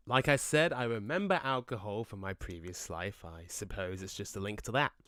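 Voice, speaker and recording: A man playing a laid-back but quick-tempered, cocky ghost in an audio drama, with a brash, slangy, matey way of talking. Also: on the low side, fairly bright tone, dry recording, studio quality, natural voice. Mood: relaxed, reflective. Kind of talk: conversational audio drama acting.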